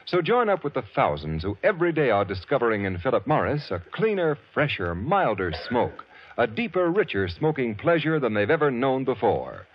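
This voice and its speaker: A man's voice: mid-range voice, wildly swooping pitch, normal speed. An American man reading a 1940s radio advertisement with formal, emphatic salesmanship. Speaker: commercial announcer. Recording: dull, scratchy vintage radio.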